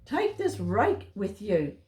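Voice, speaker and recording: A woman's voice: mid-range voice, wildly swooping pitch, slow, darkish tone. A woman voicing a timid, worried young male mouse who gives fearful warnings in a children's story. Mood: anxious. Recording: roomy, echoey home recording.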